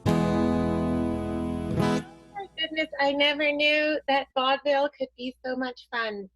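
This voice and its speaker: Woman playing high-voiced glamorous, refined movie star. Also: slow pace, loud music behind the voice. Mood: delighted. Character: glamorous, classy movie star slumming in vaudeville. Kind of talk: improvised comic radio soap acting.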